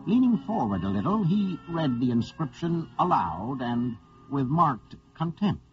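Urbane, expressive old-radio storyteller. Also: male voice, on the high side, measured pace. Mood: reflective. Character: urbane, courteous storyteller recalling a strange meeting. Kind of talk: scripted narration, old-time radio acting. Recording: dull old radio recording, music behind.

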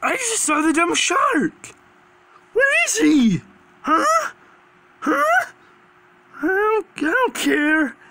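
A dimwitted, combative cartoon creature rambles in a squeaky, creaky voice. It drags its words slowly, stammers and circles back on itself. There is faint noise behind it. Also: male voice, very animated, bright, forceful tone, full character voice. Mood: agitated. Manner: stammering, repeating himself.

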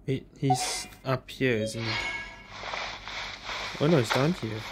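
A woman's voice: extremely low pitch, slow pace, rough recording. A woman giving casual gameplay commentary as a streamer, with game music behind her. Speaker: gaming streamer.

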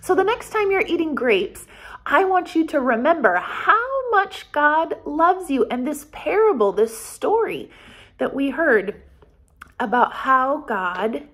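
A woman in her forties with an American accent speaks as a pastor to young children. Her voice sits somewhat high, and she moves very slowly through simple, deliberate phrases, as in a storytime lesson. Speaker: pastor leading children's Bible story time. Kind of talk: children's storytime, reading and explaining. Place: small office, indoors.

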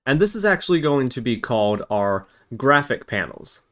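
A man hosting a software tutorial, explaining each step as he narrates a screen recording. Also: mid-range voice, big pitch swings, normal speed. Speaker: tutorial host.

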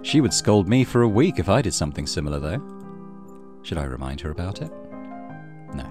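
A man hosts a let's play in a low voice. He reads narration aloud with expressive intonation and comments casually over faint game music. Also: brisk.

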